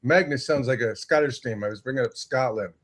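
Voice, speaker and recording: A man's voice: medium pitch, a little fast. An older man from Texas on a casual video call, talking in a joking, playfully boastful way. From Texas, USA. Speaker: guest.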